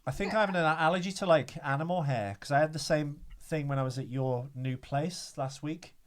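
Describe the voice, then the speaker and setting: A man's voice: on the high side, moderate pace. A man hosting a podcast in casual chat, telling things in a rambling, wryly understated way. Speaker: podcast host.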